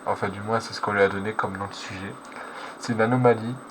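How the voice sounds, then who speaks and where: A man's voice: on the low side, fairly even intonation, quick pace. A man giving casual, slangy reaction commentary, hesitating and correcting himself as he goes. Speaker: reaction video host. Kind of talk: casual live reaction commentary, translating on the fly. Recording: clearly noisy background.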